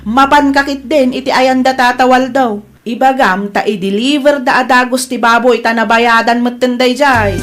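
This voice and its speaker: A woman's voice: fairly high pitch, steady tone, moderate pace, a bit bright, soft background music, close to an everyday voice. A woman with an Ilocano accent playing an evasive married woman hosting a family feast in a radio drama. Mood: brisk, impatient. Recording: busy crowd sound behind voice.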